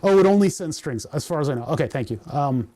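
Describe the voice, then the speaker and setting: Chatty mellow-voiced man, voice going hoarse. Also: a little high, animated, fast talker. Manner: rambling, chatty, laughs often, reads chat aloud. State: voice starting to go hoarse. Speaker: livestream host. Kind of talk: casual livestream chat while coding.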